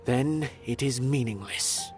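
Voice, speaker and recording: An actor playing a proud, grave and idealistic former star artist in a video game, declaiming earnestly and theatrically. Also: male voice, mid-range voice, slow, soft background music, lightly acted. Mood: impassioned, grave. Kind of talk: dramatic video game dialogue.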